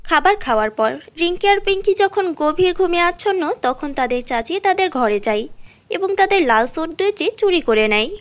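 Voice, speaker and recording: A woman's voice: very high voice, lively intonation, moderate pace. A woman narrating a cartoon fairy tale as a storyteller from a script.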